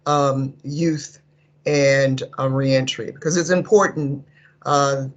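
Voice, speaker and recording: A man's voice: medium pitch, fairly even intonation, slow pace, dull audio. A man with a slightly scratchy voice speaking as a youth services panelist, giving grateful, hesitant meeting remarks. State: slightly scratchy voice from not talking.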